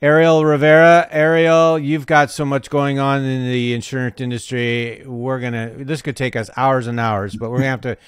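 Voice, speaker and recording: Smooth-voiced upbeat podcast host. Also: male voice, medium pitch, level tone, normal speed, few pauses, no room sound. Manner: upbeat, jokey introductions, brief affirmations. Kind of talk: interview podcast hosting. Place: home studio. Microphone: studio mic on boom arm.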